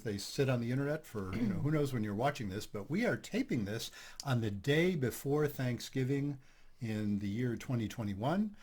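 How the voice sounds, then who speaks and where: An older man hosting a couples therapy podcast, talking in a relaxed, chatty way with light self-deprecating humor. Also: mid-range voice. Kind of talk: casual podcast chat.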